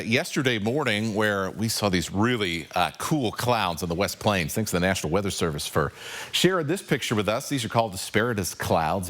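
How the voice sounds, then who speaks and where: A man with a deep voice giving a relaxed, conversational live weather forecast on morning TV. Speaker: TV meteorologist. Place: TV news studio.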